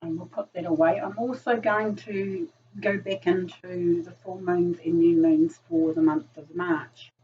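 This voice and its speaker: A woman with a croaky voice from a virus, chatting casually through a tarot reading, full of ums. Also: medium pitch, animated, a little slow. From New Zealand. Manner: chatty, filler-heavy, frequent ums. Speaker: tarot reader. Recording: distant lo-fi room mic, faint noise.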